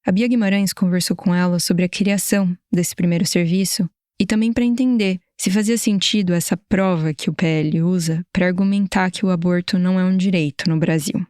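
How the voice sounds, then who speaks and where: Measured Brazilian woman podcast narrator. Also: on the low side, fairly even intonation, a little mellow, no room sound, studio quality. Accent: Brazilian Portuguese. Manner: measured, explanatory delivery. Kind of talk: scripted narration.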